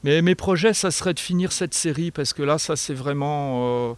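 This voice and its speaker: A man's voice: fairly high pitch, moderate pace, clear, resonant tone, dry recording. A man giving hesitant, reflective answers. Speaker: guest author and small publisher. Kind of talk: conversational radio interview answers.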